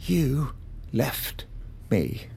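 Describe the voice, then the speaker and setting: Gravelly, conflicted man. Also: mid-range voice, sing-song, dark tone, a little hiss or hum, heavily acted. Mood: wounded, earnest. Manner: earnest, halting, emphatic. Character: conflicted, weary man torn over a former lover. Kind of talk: naturalistic audio drama acting.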